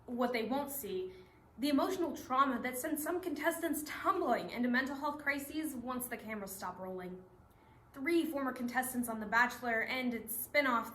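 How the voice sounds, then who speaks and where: A woman's voice: high-pitched, a bit bright. A woman giving rehearsed, scripted narration in a readers theatre performance. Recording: echoey room stage recording.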